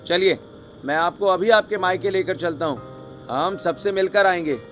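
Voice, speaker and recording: A man's voice: high-pitched, moderate pace, fairly bright tone, soft background music. A man voicing a teasing, playful young brother-in-law in a family cartoon. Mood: caring.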